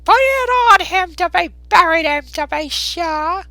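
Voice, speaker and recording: Storybook charwoman, played by a man: high, creaky, brazen, unashamed, scoffing at leisure. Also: lively intonation, sharp tone, no room sound, exaggerated voice. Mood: callous, scoffing. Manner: coolly unashamed, drawn-out scoffing delivery. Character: brazen, callous working-class charwoman hawking plunder. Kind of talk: dramatised Victorian storybook reading.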